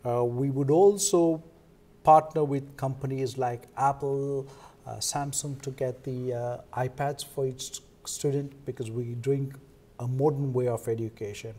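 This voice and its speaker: Man, Sri Lankan accent, dark relaxed timbre, medium pitch, halting delivery, televised interview guest. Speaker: interview guest, foundation co-founder. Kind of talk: televised interview, explanatory talk.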